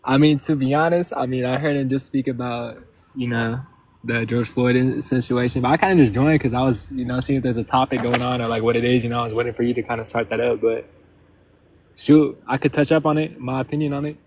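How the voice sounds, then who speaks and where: A man's voice: medium pitch, fairly even intonation, fairly quick, a little mellow, a little hiss or hum, rough recording. A twenty-year-old man from Oregon calling into a live stream, talking in a casual, rambling, hedging way. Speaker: caller.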